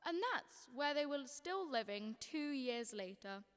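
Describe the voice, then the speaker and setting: Girl as a high, echoing storyteller. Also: lively intonation, unhurried, fairly bright tone, smooth. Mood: patient storytelling. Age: teens. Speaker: student radio drama actor. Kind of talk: storytelling narration in radio drama. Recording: long hall-like reverb.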